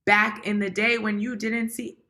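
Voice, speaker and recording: A woman guest from Washington, D.C. with a raspy voice, speaking emphatically and correcting details firmly. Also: mid-range voice, flat delivery, normal speed, pushed and bright. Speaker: podcast guest. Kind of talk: casual conversation.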